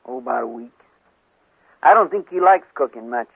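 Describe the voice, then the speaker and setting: An actor playing an eager, simple deputy in a 1950s radio western, folksy and respectful, with a rural American drawl. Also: male voice, mid-range voice, animated, moderate pace, gravelly, slightly rough, no echo. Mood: obliging, curious. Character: eager, simple, deferential male deputy sidekick. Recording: 1950s radio broadcast, dry, narrow tinny sound.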